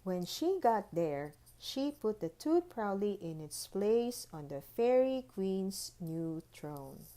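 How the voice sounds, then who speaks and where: A woman's voice: medium pitch, sing-song, takes it slowly, hardly any pauses, warm tone. A woman narrating a children's picture book expressively, as a read-aloud storyteller. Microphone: phone recording.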